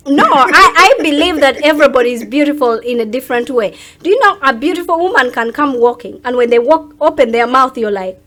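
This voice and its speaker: Ugandan lady guest on a chat show, high voice, says it straight and punchy. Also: lively intonation, a little fast, few pauses, a bit bright. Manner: outspoken, emphatic. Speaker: interview guest. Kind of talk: animated casual interview talk.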